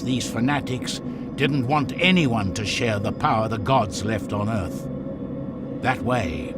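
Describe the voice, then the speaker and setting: Male game narrator, solemn, theatrical, slow delivery, widely swinging pitch, music behind. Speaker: narrator of a video game story. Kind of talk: dramatic scripted storytelling narration. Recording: loud music under the voice.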